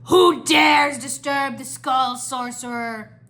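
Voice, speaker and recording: A woman's voice: high voice, fairly even intonation, slow pace, fairly bright tone. Woman playing a commanding evil winged sorcerer. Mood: commanding, menacing.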